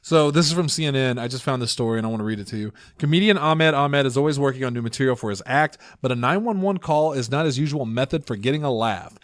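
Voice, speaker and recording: A man's voice: medium pitch, quick pace, hardly any pauses, no room sound, studio quality. Joking sarcastic male podcast host. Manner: joking, sarcastic, laughs often. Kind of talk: casual podcast chat.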